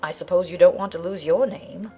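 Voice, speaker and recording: A woman voicing a large talking gnat in a children's audiobook, brisk, careless and matter-of-fact. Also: lowish voice, dark tone, faint music behind, lightly acted. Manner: brisk, offhand, buzzing between remarks. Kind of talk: dramatized children's audiobook acting.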